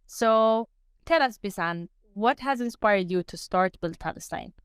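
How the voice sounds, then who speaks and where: Clear-voiced woman hosting a podcast interview. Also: medium pitch, lively intonation, a little slow, no echo, clean studio sound.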